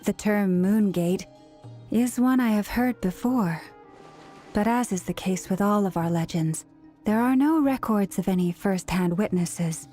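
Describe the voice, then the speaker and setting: A solemn, gentle priestess from a fantasy game played by an actress speaks in formal, measured lines. Her voice is mellow and medium-pitched, with faint music behind. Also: natural voice.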